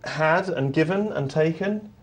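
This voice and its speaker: A man with a husky, slightly breathy voice commentates on a live poker broadcast. He talks in a wry, joking way, weighing up bets and trading dry asides with his co-host. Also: a little high, a little slow. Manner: wry, dry humour, self-deprecating asides.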